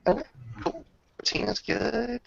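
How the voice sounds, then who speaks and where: A man with a gravelly voice acts as game master, casually narrating a tabletop roleplaying game with informal, descriptive talk. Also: very high voice, expressive, unhurried, fairly bright tone, dry recording, lo-fi sound.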